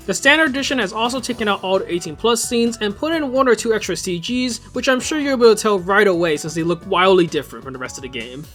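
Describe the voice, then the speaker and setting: A man narrates a scripted video review at a quick clip. His voice sits high and moves around animatedly in pitch. He talks informally, like a fan presenting a favorite. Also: expressive, a bit bright. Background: game soundtrack music.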